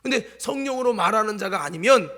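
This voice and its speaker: A male pastor with a light Chungcheong accent, preaching a sermon in church. He swings between loud exhortation and hushed asides and keeps quizzing the congregation. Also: high-pitched, expressive, a little fast. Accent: light Chungcheong Korean.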